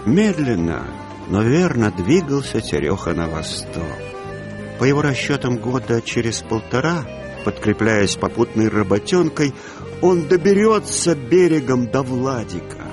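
A male narrator tells a literary story for radio. He has a middle-pitched voice and a measured, colloquial delivery. Clear music accompanies him. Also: lively intonation. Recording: music bed behind the voice.

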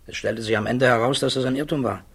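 Husky, troubled physicist under questioning. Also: male voice, mid-range voice, steady tone. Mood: earnest, explaining. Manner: measured, precise, guarded answers. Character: embattled male physicist testifying at a security hearing, thoughtful, troubled. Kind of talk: 1960s German radio play acting.

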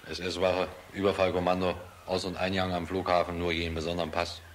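Man as military aide, very low flat voice, brisk, deferential, radio drama. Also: slight background noise, close to an everyday voice. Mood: dutiful. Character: dutiful military aide, deferential to his general. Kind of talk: radio play acting.

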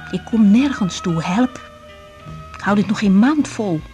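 An actress playing a young, sensitive, tired woman doctor in a radio drama, speaking gently and reflectively with a Netherlands Dutch accent. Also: medium pitch, animated, measured pace, music in the background, lightly acted. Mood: weary, confiding. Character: young female doctor, weary, sensitive, caring. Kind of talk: radio drama acting, intimate diary monologue and dialogue.

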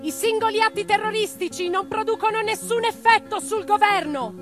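A high-pitched woman revolutionary speaks in an intense, theatrical radio-play monologue. She declaims her letters and convictions with dramatic weight, over plucked guitar music. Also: moderate pace. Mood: fiery, oratorical. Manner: declamatory, dramatic, swinging from hushed to forceful. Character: impassioned female socialist revolutionary, intense, reflective letter-writer.

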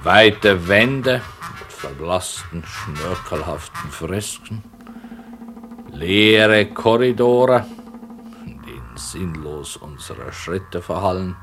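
Slow, deep-voiced wry writer. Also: male voice, lively intonation, fairly bright tone, faint music behind, heavily acted. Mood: musing, descriptive. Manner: deliberate, wry, halting descriptive phrases. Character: ironic, detached writer slowly conjuring his story's scenery. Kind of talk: 1960s German radio play narration. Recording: noisy old radio recording, low rumble.

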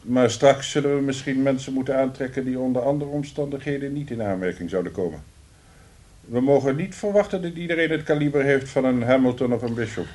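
A man's voice: medium pitch, brisk, darkish tone, close to an everyday voice. An actor in a radio play as a blunt, sardonic male director, dry and commanding in a job interview. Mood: businesslike. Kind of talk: radio drama acting.

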